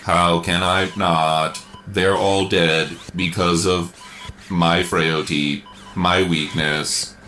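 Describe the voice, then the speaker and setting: A man playing a grief-stricken, self-blaming cyborg boy hero in a radio serial, with a halting, solemn, robotic delivery. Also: deep voice, fairly even intonation, pushed and bright, soft background music, heavily acted. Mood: anguished, guilty. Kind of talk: mock radio serial acting.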